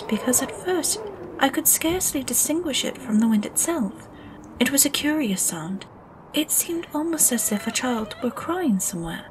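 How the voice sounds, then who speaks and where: A woman's voice: a little high, darkish tone, a little hiss or hum, lightly acted, low-quality recording. An actress playing a sour, curious young girl who narrates her own story, earnest and full of questions. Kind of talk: audio drama narration and dialogue.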